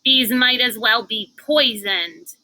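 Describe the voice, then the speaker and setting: A woman's voice: on the high side, slow, pushed and bright, dry recording, exaggerated voice, rough recording. A woman voicing a fussy little girl from a storybook, complaining in disgust. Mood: disgusted, grumbling. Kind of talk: storybook character voice.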